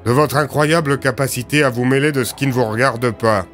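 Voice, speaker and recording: A man's voice: mid-range voice, brisk, fairly bright tone, soft background music, natural voice. A man playing a stern lawman in an audio drama, dry, firm and formal, with a sarcastic, threatening edge. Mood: irritated, sarcastic.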